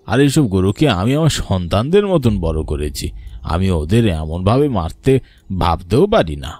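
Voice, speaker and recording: An actor voicing humble, loyal village farmhands in a horror cartoon, deferential and pleading in manner. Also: male voice, low-pitched, sing-song, normal speed, darkish tone, no echo. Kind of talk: dramatised horror cartoon dialogue. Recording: music bed under the voice.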